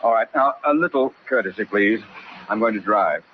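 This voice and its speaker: A man's voice: fairly low pitch, moderate pace, lightly acted. An actor playing a stuffy, exasperated, courtly businessman golfer in a 1940s radio sitcom, pompous and dryly sarcastic. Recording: muffled, noisy 1940s radio, studio audience.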